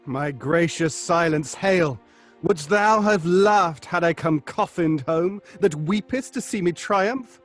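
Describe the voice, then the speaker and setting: A proud Shakespearean warrior husband, declaims with grand tenderness. The voice is high for a male and very slow, stage-like in every phrase, with faint music behind. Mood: tender triumph. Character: proud triumphant Shakespearean war hero husband.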